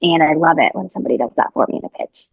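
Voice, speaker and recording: A woman's voice: sits low, animated, racing, vocal fry, slightly rough, no room sound, dull audio. A young adult woman reporter, a podcast guest, answering questions in a chatty way with many fillers and restarts. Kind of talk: casual interview answers.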